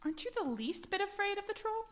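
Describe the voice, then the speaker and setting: A woman's voice: extremely high pitch, very animated, quick pace, a bit bright, heavily acted. A woman playing a clever, confident young servant maid who speaks knowingly in a fairy tale radio play. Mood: curious.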